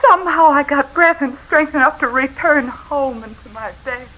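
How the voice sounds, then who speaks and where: An actress playing a doomed, haunted wife in melodramatic radio horror, breathy and full of dramatic pauses. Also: female voice, high voice, close to an everyday voice. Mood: desperate, frantic. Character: doomed, haunted wife telling her terrifying tale by letter. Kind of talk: 1950s radio horror acting. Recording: muffled, noisy vintage radio recording.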